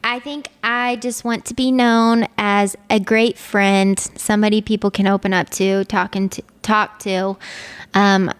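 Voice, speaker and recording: A woman in her twenties, a podcast guest, speaking softly and thoughtfully, with hesitant "um"s. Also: mid-range voice, little pitch movement, unhurried, clear, resonant tone, in a large hall. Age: 20s. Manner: soft-spoken, thoughtful, hesitant with "um". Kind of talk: casual podcast chat.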